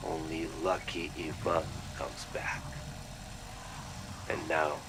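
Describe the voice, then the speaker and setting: Male game role: grim Russian convict, extremely low voice, hugely varied intonation, wry and brooding, rumbling noisy log. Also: full character voice. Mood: fearful, resigned. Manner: halting, brooding, sardonic. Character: doomed, bitter Russian male labor-camp prisoner, grimly wry. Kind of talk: dramatic audio log acting.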